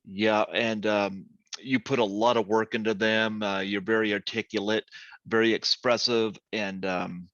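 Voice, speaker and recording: Guy hosting a chat, lowish voice, lots of ums, dry dull lo-fi recording. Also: level tone, unhurried, a bit bright, dry recording. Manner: rambling, many ums and uhs. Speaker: podcast host. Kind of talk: casual interview chat. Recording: dry, muffled lo-fi audio.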